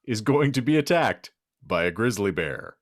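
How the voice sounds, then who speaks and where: A man's voice: on the low side, very animated, normal speed, dry recording, clean studio sound. A man hosting a podcast, reading out a comic hypothetical and bantering in a wry, wordy, mock-formal manner. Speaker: podcast host.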